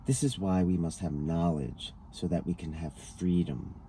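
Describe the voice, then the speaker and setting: Very bright, very deep-voiced man reading. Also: moderate pace. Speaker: reader of a written transcript. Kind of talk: scripted reading of an interview dialogue. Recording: noticeable background noise.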